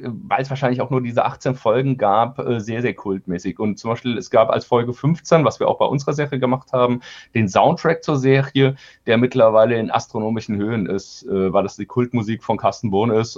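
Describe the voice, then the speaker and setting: A man with a medium-pitched voice talks as an interview guest. He explains his views frankly but haltingly, in a casual tone. The recording is slightly dull and lacks top end. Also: a little mellow. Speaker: audio drama producer being interviewed. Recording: slightly dull, band-limited audio.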